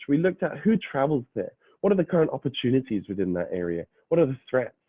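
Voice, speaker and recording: A man's voice: medium pitch, dry recording. A young adult man, half British, giving an explanatory online presentation to prospective students, with frequent filler uhs and restarts. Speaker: university admissions representative. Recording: dry, phone-like lo-fi audio.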